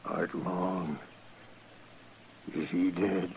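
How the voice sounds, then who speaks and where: Weak, gasping, dying homesteader. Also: male voice, low-pitched, little pitch movement, very slow, vocal fry, darkish tone, a little hiss or hum, heavily acted. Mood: agonized, fading. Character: dying, gut-shot male homesteader, frightened. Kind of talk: old-time radio western acting.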